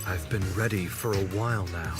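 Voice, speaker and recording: A man voicing a calm, resolute male rebel soldier with a steady, earnest delivery. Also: low-pitched, brisk, lightly acted. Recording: game audio under loud music.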